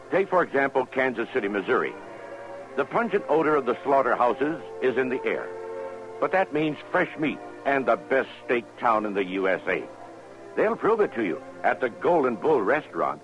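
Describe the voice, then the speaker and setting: A man's voice: medium pitch, fairly bright tone. A man reading scripted narration as an announcer in 1950s radio style. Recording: muffled old radio recording.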